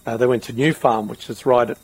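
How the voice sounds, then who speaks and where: Male interviewer, Australian, middle-aged, gravelly and husky, thoughtful and rambling in conversation. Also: mid-range voice, steady tone, fast, darkish tone, rough recording. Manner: rambling, reflective questioning.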